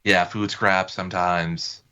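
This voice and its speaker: Halting, low-voiced man on slightly dull audio. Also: level tone, bright, forceful tone, smooth. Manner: halting, hesitant phrasing. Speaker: podcast guest. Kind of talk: casual chat.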